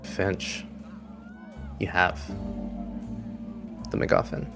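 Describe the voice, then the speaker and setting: A man's voice: drawn-out words, music in the background. A man improvising narration as game master of a tabletop roleplaying game, with a hesitant, amused delivery.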